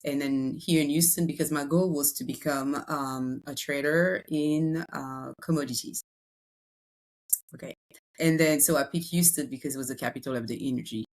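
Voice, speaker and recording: Low-voiced French-accented woman. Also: steady tone, normal speed, darkish tone. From Congo, raised in France. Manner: chatty, many fillers and restarts. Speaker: real estate broker. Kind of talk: podcast interview.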